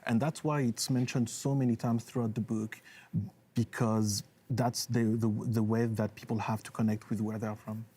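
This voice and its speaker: A man from France, a debate panelist, speaking thoughtfully with halting restarts. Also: fairly low pitch, little pitch movement, warm tone, breathy edge. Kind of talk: debate argument.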